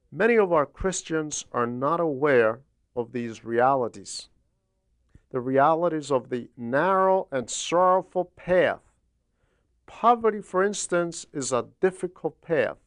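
A man delivers a religious homily at an unhurried, slow pace, with a slightly high-set voice. He teaches deliberately, breaking his sentences into short phrases with pauses. The sound is dry and close, with very little room in it. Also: clear, resonant tone, no room sound. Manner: deliberate, didactic, with frequent pauses between phrases. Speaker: homily reader and translator. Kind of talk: religious homily, read aloud.

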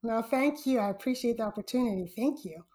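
Woman from Zambia, podcast guest, fairly high voice, animated storytelling. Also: brisk. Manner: animated, laughs often while telling a story. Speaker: podcast guest, full-time stock trader. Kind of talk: casual interview storytelling.

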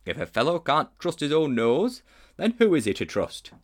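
A man's voice: a little high, huge pitch swings, normal speed, voice pushed up. A man voicing a blunt, indignant talking bulldog in a storybook reading. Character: gruff, stubborn talking bulldog.

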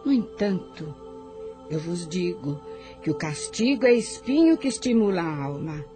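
A woman's voice: lowish voice, big pitch swings, measured pace. A woman playing a solemn, wise spiritual guide who narrates moral counsel in a radio drama. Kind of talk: solemn religious scripted narration over music. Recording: voice over clear background music.

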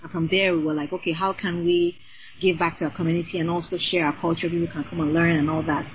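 An energetic woman of Nigerian heritage, a singer and designer, chatting freely as a podcast guest with many fillers. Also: on the low side, quick pace, muffled recording, slight background noise. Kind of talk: casual interview chat.

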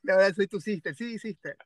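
A man from Ecuador with a Guayaquil accent, hosting a podcast in a casual conversational way, hesitant and full of fillers and restarts. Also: high voice. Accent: Guayaquil Ecuadorian Spanish. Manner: hesitant, many fillers and restarts, tag questions. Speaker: podcast host.

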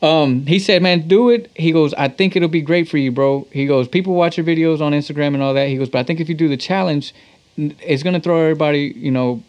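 A man's voice: a little high, fast talker, a little mellow. A man from Texas with an American accent chatting casually as an interview guest, telling stories in a slangy, laid-back way with some swearing. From Texas, United States. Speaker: musician and producer, interview guest.

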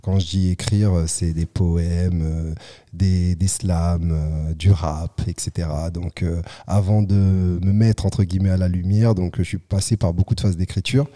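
A man from Congo, a guest artist in a casual podcast interview, speaking in a measured, reflective way with frequent pauses. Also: low voice, fairly even intonation, warm tone. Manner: measured, reflective, pausing often.

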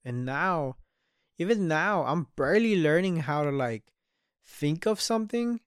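A man's voice: on the high side, expressive, unhurried, smooth, dry recording, clean studio sound. A man in his early twenties hosting a solo podcast, speaking candidly, swearing often and trailing off into restarts. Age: 20s. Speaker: podcast host. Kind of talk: solo reflective podcast monologue.

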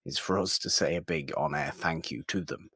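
A man's voice: low-pitched, normal speed, vocal fry, a bit bright, slightly rough, dry recording, studio quality. A man co-hosting a podcast in casual film discussion, often repeating his words for emphasis as he goes. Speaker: podcast co-host.

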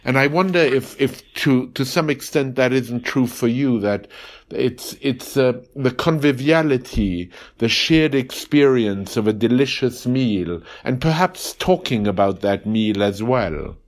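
A man's voice: mid-range voice, expressive, a little slow. A man hosting an interview podcast, asking long, meandering, thoughtful questions. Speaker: podcast host. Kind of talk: interview on a phone call.

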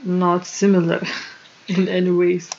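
A woman from Lithuania with a Lithuanian accent, co-hosting a podcast in casual chat, modest and quick to laugh. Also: fairly low pitch, flat delivery, a little mellow, muffled recording, slight background noise. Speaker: podcast co-host.

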